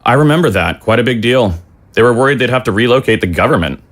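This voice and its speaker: An actor playing a measured, slightly weary middle-aged father in an audio drama. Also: mid-range voice, expressive, fairly bright tone, natural voice. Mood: reserved, reflective.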